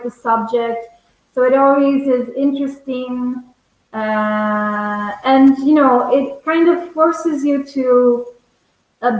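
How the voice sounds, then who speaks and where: A young woman, bilingual in English and Italian, teaches over a video call in a strongly echoing room. Her voice sits on the high side and she takes her time, explaining things in a measured, conversational way. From Italy. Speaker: English teacher, webinar host. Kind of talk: conversational online lesson. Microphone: earphone headset on video call.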